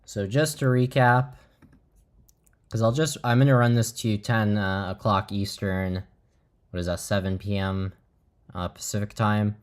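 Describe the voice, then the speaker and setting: Male livestream host, lower-pitched voice, informal live commentary, measured and a little halting. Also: fairly even intonation.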